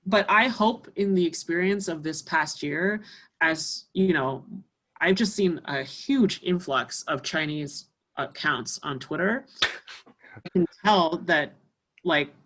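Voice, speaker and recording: A woman's voice: sits low, takes it slowly, a bit bright. A woman from Canada, a musician guest, talking casually in an interview with many fillers.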